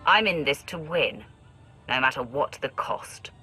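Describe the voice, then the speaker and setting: A woman playing a cold, ruthless dark warrior in a video game, speaking in a curt, commanding manner. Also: a bass voice, animated, unhurried, husky, soft background music, exaggerated voice. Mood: cold, threatening. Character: ruthless, cold Sith warrior, self-reliant. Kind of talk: dramatic video game acting.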